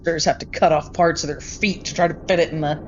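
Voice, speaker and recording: A woman's voice: low-pitched, steady tone, fast talker, background noise. A woman speaking casually as a co-host in a conversational discussion. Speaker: podcast co-host. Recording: dull lo-fi audio, music behind.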